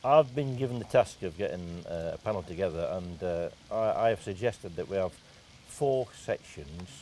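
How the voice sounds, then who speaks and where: An older man answering interview questions as a judge, with a hesitant, halting delivery. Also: low-pitched, expressive, a little mellow, a little hiss or hum. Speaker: talent show judge.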